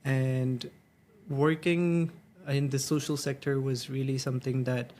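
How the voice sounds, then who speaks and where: A young man, answering interview questions in an earnest, measured way. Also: medium pitch, flat delivery, a little mellow, slight background noise. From Hong Kong. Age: 20s. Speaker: senior associate at a nonprofit.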